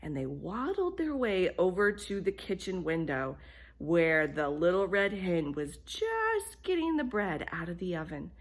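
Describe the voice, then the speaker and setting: A woman telling a children's bedtime story in an expressive, deliberate way, with emphasis on key phrases. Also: on the low side.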